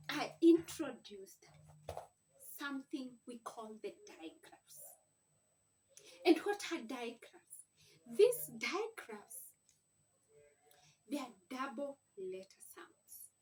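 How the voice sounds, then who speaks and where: High-pitched woman teaching, unhurried pace. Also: sing-song, darkish tone. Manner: simple explanatory phrasing, teacherly. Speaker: mother teaching her children to read. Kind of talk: informal instructional vlog. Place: at home. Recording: distant mic, faint background noise.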